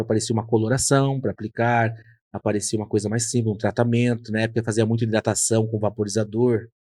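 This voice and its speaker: A man's voice: mid-range voice, darkish tone, no room sound, clean studio sound. A man in his thirties, a hairdresser and podcast host, telling his story in an earnest, reflective way. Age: 30s. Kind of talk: casual conversation.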